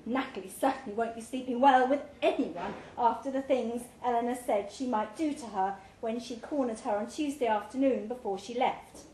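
A woman narrates a short story live in a pub, in a fairly high voice. She takes her time, leaving measured dramatic pauses. The room echoes around her, with some noise behind. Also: warm tone. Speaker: story reader. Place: pub, live reading event.

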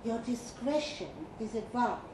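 A woman's voice: a little high, a little slow, a little mellow. A woman giving a live reading of a comic guidebook in a dry, mock-official tone with playful emphasis. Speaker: reader at a live fiction event. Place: pub function room with live audience. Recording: roomy live pub recording with noise. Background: audience laughter and room noise.

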